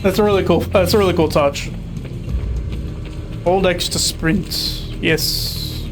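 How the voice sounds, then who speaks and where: A man's voice: high voice, steady tone, takes it slowly, music in the background. A young man casually commenting on a video game as he plays, chatty and sarcastic. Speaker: streamer playing a video game.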